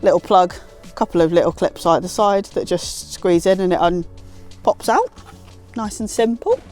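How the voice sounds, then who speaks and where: A woman's voice: fairly low pitch, moderate pace, a little mellow, faint music behind. A British woman giving a casual, hands-on tutorial in an informal, self-deprecating way. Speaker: presenter of a car installation guide.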